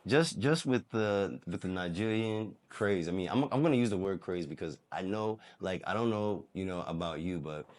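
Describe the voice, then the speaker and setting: A man's voice: low voice, moderate pace, hardly any pauses. A young man co-hosting an interview show, chatting casually and backing up his guest with lots of "man" and "bro.". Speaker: podcast co-host.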